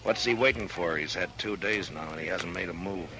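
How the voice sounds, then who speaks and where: An American man acting a stern, weary frontier marshal in 1950s radio drama, terse and forceful. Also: low-pitched, a little fast, poor audio. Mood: menacing. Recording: vintage radio recording with music.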